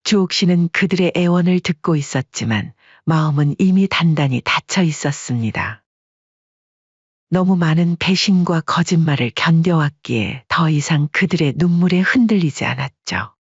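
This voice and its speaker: A narrator tells a story in even, measured tones. The voice is husky and the sound is dry and close. The recording is slightly muffled, like lo-fi audio. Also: mid-range voice, no echo.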